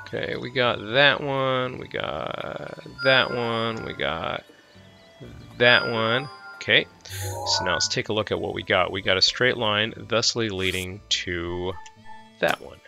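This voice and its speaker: Gravelly-voiced man with a cold, casual gaming commentary. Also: fairly low pitch, takes it slowly, over music. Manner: goofy, rambling, talking to himself. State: tail end of a head cold. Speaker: let's play host.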